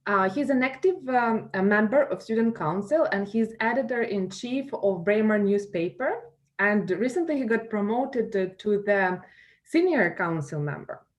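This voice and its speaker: A woman's voice: mid-range voice, lively intonation, moderate pace. A woman with a Ukrainian accent moderating an online panel, introducing guests with frequent fillers and self-corrections over a video call. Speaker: school representative and panel moderator.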